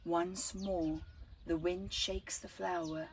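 Middle-aged female storyteller, unhurried and expressive children's read-aloud, dramatic pauses, outdoors with faint birdsong. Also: fairly low pitch, slight background noise. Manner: deliberate, dramatic pauses between short phrases. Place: outdoors in an open gazebo at a flower farm. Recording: outdoor recording with birdsong behind. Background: birds chirping.

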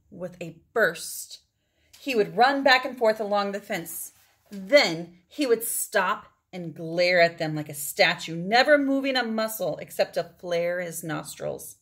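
A woman's voice: medium pitch, a bit bright. A woman in her forties reading a picture book aloud to children, drawing out words for effect and adding asides to her listeners. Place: indoors, small room at home.

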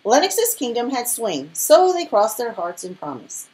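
A woman reading a children's picture book aloud in an expressive storytelling style. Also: on the high side, a little slow. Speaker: storybook reader.

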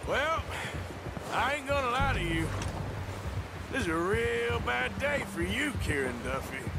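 An actor playing a tough, menacing cowboy gunslinger in a video game, with an American accent and a laconic, drawling delivery. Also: male voice, very high voice, big pitch swings, measured pace, very noisy, exaggerated voice.

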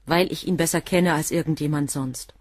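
An actress playing a cool, devoted assistant to a professor in a radio drama, answering questions in a guarded, curt way. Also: female voice, low voice, steady tone, moderate pace, husky, no echo, studio quality. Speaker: witness under questioning.

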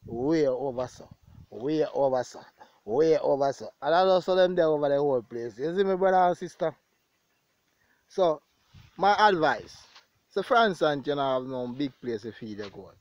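A man's voice: fairly high pitch, expressive, normal speed, darkish tone, no echo. Lo-fi muffled audio, dry sound, Jamaican man, casual farm vlog, conversational, repeated phrases. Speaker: goat farmer and vlogger. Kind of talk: casual vlog talk to viewers. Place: outdoors in an open grassy pasture.

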